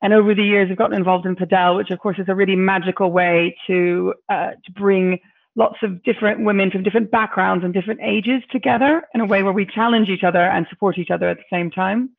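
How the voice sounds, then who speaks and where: Brief: hoarse woman, gravelly and raspy, keen but halting, phone-quality audio. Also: mid-range voice, steady tone. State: hoarse, losing her voice. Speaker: incoming charity chair. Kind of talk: remote interview answer.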